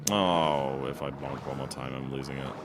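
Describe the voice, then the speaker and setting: Very deep-voiced, slightly hoarse young man. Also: steady tone, moderate pace. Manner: rambling, laid-back, many fillers. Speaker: speedrun streamer. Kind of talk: casual live-stream chat. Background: game music.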